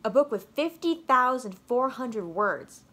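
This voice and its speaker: A woman's voice: a little high, big pitch swings, measured pace, bright, forceful tone, pitched above the performer's own voice, heavily acted. A woman voicing a reluctant, wry schoolboy who dreads big books, in a children's storybook read-aloud. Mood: glum.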